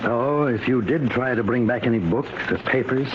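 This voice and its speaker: A man's voice: medium pitch, moderate pace, close to an everyday voice. A man playing a kindly, solicitous fatherly scientist in an old radio drama. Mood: fatherly, explanatory. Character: fatherly male professor, anxious, kindly scientist. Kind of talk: 1940s-50s American radio drama acting. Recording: muffled, noisy vintage radio recording.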